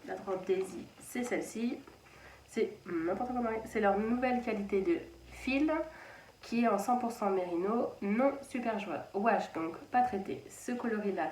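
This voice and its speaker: A chatty woman in her thirties talks her way through a knitting update, rambling and pausing to restart her sentences. Her mid-pitched voice sits a little back from the mic in an echoey room, with a faint hum of the house behind her. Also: expressive, darkish tone, distant mic. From France (Anjou). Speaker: knitting channel host. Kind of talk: casual knitting podcast chat. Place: children's playroom at home.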